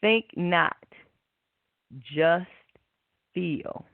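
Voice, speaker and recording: Slow-talking woman on a phone-like line. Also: on the low side, vocal fry, dry recording. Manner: unhurried, reflective, often seeking agreement. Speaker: host, spiritual guide. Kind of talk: guided meditation and spiritual pep talk. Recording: tinny narrow phone-like line.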